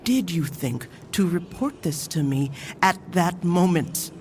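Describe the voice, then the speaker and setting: A woman acting a stern, commanding mentor in an audio drama, speaking in a measured, reproachful way. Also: lowish voice, animated, a little mellow, a little hiss or hum. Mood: angry, scolding.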